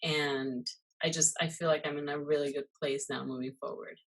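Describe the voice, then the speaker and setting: A low-pitched woman from Costa Rica talks through an interview in a relaxed, conversational way. She leans on fillers and loose asides as she tells her story. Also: moderate pace, breathy edge, rough recording. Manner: chatty, many fillers and "you know". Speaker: guest, muralist and illustrator. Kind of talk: casual interview chat.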